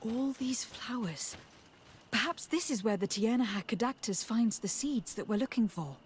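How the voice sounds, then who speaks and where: A woman playing a courteous, inquisitive noblewoman in a fantasy video game, formal and thoughtful, with a husky voice. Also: a little high, lively intonation, moderate pace. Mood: thoughtful, composed. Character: courteous, inquisitive young noblewoman envoy and adventurer. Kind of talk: video game dialogue acting.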